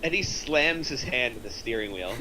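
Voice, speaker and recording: A man narrates stage directions at a screenplay table read. His voice is bright and forward at an average pitch, with faint noise in the room. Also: expressive, normal speed, rough recording.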